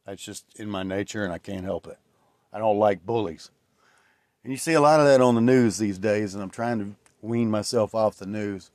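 A man in his late forties talks through his own solo podcast in a low voice. He rambles and reflects, with plenty of fillers and restarts. The sound is close and dry, with no room echo. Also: normal speed, a little mellow. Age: 40s. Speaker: podcast host. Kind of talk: casual solo podcast monologue.

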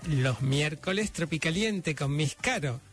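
A man's voice: on the high side, lively intonation, a little slow, faint music behind. A man hosting a radio program, announcing the schedule and introducing a guest, with frequent hesitant fillers. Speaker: radio host.

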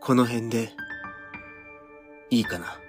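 An actor playing an awkward, gentle young man in a radio drama, halting and reserved, with quiet resolve. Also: medium pitch, natural voice. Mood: grave. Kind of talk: Japanese radio drama acting. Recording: music behind the voice.

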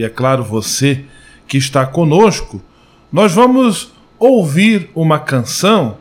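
A man hosting a radio program, formal and announcing clearly. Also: on the high side. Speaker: radio host.

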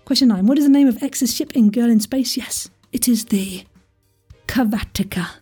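A woman's voice: on the high side, moderate pace, warm tone, slightly rough, faint music behind. A woman hosting an audio quiz in a chatty, playful style, with a theatrical, jokey delivery full of asides and exclamations. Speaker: quiz host.

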